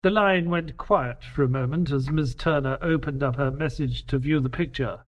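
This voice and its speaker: A man playing an earnest doctor who narrates detective adventures in an audio drama, with a husky, expressive storytelling delivery. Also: fairly high pitch, normal speed, muffled recording, close to an everyday voice. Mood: dramatic narration.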